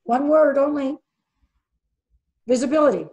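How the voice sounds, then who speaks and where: A woman's voice: high voice, unhurried, darkish tone. An older woman presenting a sailing webinar over a video call, emphatic and quizzing her audience, often repeating key words for stress.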